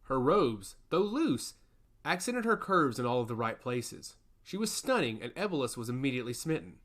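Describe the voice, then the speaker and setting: A male storyteller reads fantasy fiction at a medium pitch. His intonation is very lively, rising and falling widely through the scripted narration. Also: moderate pace.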